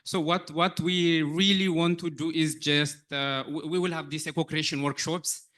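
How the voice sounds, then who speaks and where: A man answering questions after a research presentation, halting with many restarts and fillers. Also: fairly high pitch, fairly even intonation, fairly bright tone. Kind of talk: conference Q&A answer.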